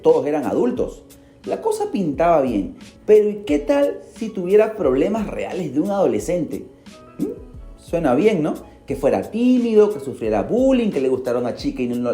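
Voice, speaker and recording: A man hosts a podcast and narrates a story in a dramatic, animated way. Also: on the high side. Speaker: podcast host. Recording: roomy echo. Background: faint music.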